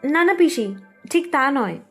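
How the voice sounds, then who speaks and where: A woman's voice: sits high, sing-song, normal speed, faint music behind. A woman playing a hesitant, deferential young daughter in a cartoon. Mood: respectful. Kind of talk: cartoon voice acting.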